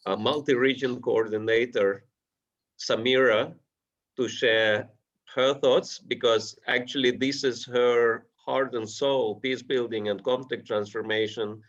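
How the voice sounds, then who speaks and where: Slow, low-voiced male moderator, lo-fi. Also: steady tone. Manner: formal, slightly halting phrasing. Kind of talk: online panel moderation. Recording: dull lo-fi audio.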